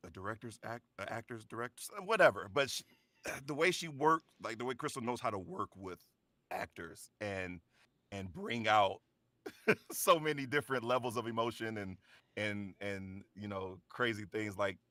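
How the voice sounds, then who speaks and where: A man's voice: on the low side, moderate pace, sharp tone, no echo. A man chatting casually as a voice actor on an after-show panel, laughing often and stumbling over his words.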